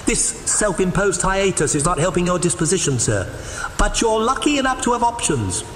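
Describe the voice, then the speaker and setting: A man's voice: high-pitched, big pitch swings, moderate pace, fairly bright tone. A man playing a formal butler with dry, sardonic wit in an audio drama. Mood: dryly reproving. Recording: echoey cavern with faint noise.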